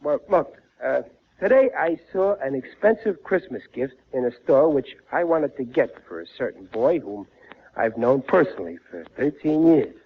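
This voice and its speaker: A man's voice: medium pitch, expressive, normal speed, slightly rough. An American man playing a gullible, blustering working-class father in 1940s radio comedy, stammering through bad grammar. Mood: scheming, blustering. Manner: ungrammatical, stammering, exasperated. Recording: muffled old-time radio broadcast.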